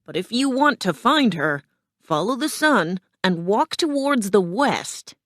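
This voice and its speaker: Storybook gatekeeper, male, amused yet foreboding, slow matter-of-fact delivery by a female reader. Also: mid-range voice, sing-song, fairly bright tone, dry recording, clean studio sound, pitched above the performer's own voice. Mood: cautioning. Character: male city gate guardian, amused, ominously warning.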